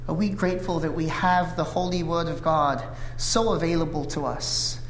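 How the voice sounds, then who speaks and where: A man in his thirties from South Korea, whose first language is Korean, preaching a sermon with an earnest, measured delivery and frequent "uh" fillers. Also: on the high side, steady tone. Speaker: pastor. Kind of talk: sermon with opening prayer. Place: church sanctuary. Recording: echoey hall reverb, faint room noise.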